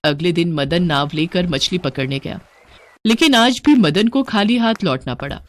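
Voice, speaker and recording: Very expressive woman storyteller. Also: lowish voice, normal speed. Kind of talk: scripted moral story narration.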